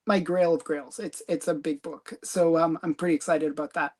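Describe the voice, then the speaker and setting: A woman's voice: sits low, steady tone, a little fast, darkish tone. A woman hosting a casual comic collecting livestream, chatty and rambling, giggling often. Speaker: host of a comic collecting channel.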